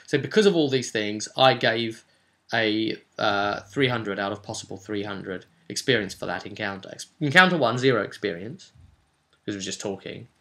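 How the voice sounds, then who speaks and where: A man rambling through a vlog as a game master, animated and emphatic, repeating words for stress and slipping into imagined players' remarks. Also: fairly low pitch, normal speed. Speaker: tabletop game master and vidcast host. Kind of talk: rambling vlog commentary on a roleplaying game session.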